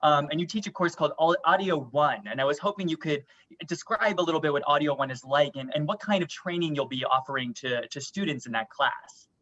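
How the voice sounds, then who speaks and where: A man's voice: a little high, fairly quick, a bit bright. A man moderating an online panel, politely introducing speakers and explaining as he goes. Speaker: webinar moderator. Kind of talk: hosting a panel discussion. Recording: dull, lo-fi webinar audio.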